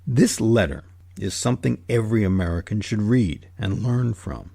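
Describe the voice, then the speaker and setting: Gravelly, low-voiced male narrator. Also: normal speed, warm tone, dry recording, studio quality. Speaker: host and narrator. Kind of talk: scripted documentary narration.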